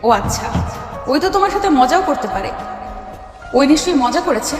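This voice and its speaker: Woman as playful commanding chairwoman, high pitch, audio story, reverberant. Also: sing-song, moderate pace. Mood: playful probing. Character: assertive, playful lady college chairperson. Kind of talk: Bengali audio story acting. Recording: echoey long reverb, music bed.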